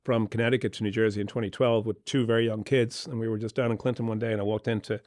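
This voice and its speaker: A middle-aged man with a Dublin Irish accent, halting and repeating words as he explains in a casual group chat. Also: on the low side, flat delivery, darkish tone, dry recording, studio quality. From Ireland (Dublin). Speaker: member of a charity club.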